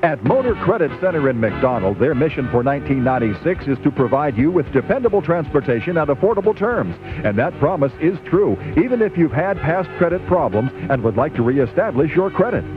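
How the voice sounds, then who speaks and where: A tinny radio recording with music behind a man reading an advertisement. His voice is fairly high and swings in a lively way. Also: fairly quick, few pauses. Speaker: commercial announcer.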